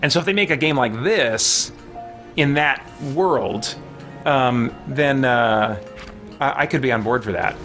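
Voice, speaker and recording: Man, mid-range voice, casual game streamer, chatty, rambling, thinking aloud, self-correcting, soft background music. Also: mid-range voice, unhurried. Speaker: live-stream gaming host. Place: small home room, indoors.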